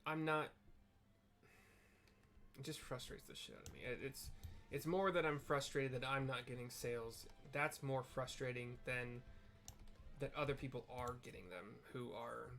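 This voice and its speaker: A man's voice: mid-range voice, moderate pace, a bit bright. A man narrating a video game as he plays, moving between reading aloud and frank, casual commentary with some swearing and stumbles. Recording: clear background noise.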